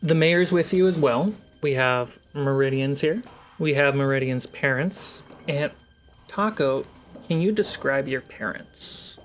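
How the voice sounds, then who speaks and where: Slow, low-key man as game master. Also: faint music behind. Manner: slow, measured scene description with fillers. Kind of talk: narrating a tabletop game.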